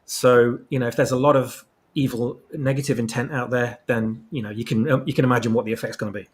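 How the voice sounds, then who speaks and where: A man's voice: mid-range voice, steady tone, fast. A man in a casual discussion, explaining things at length as a guest author, often repeating words as he goes. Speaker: fan fiction author and podcast guest. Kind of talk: casual discussion of story lore.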